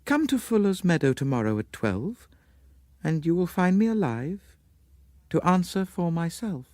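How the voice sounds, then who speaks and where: Bloke doing a poor desperate woman from a storybook, raised pitch, proud, reckless, weary. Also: very animated, unhurried, darkish tone, dry recording, clean studio sound. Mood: softened, grave.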